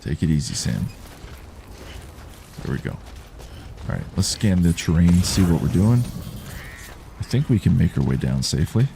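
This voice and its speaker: A man with a baritone voice giving calm, unhurried commentary while he plays a game and reads chat on a live stream. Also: vocal fry, slight background noise. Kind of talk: relaxed live gameplay commentary.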